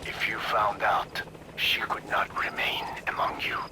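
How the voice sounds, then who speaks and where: An actor playing a grave elderly man who keeps secrets in a video game, speaking in a hushed, raspy, halting and deliberate way. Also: on the low side, monotone, whispered, natural voice. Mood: grave, confessional. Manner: slow, deliberate, halting delivery. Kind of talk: dramatic video game dialogue.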